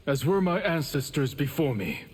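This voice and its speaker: An actor playing a calm, proud warrior defender in fighting-game dialogue, direct and composed. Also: male voice, on the high side, normal speed, breathy edge. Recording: loud music behind.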